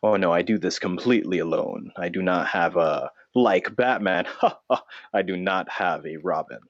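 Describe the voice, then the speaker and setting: Casting: male improviser as a deep-voiced, deadpan vigilante notebook in bat disguise, cruel and self-serious, comedy podcast, slightly dull sound. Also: moderate pace, no room sound. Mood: defensive, deadpan. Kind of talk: improvised mock interview.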